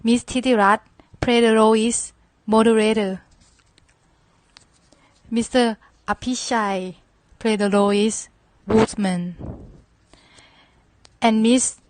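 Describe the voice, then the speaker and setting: A woman's voice: medium pitch, no echo, low-quality recording. A woman narrating a scripted children's story as moderator.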